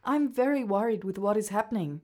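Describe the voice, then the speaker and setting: A woman's voice: mid-range voice, lively intonation, fairly quick, dark tone, lightly acted. A woman with an Australian accent playing a worried, defensive mother in a radio drama. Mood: indignant. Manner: indignant, emotional.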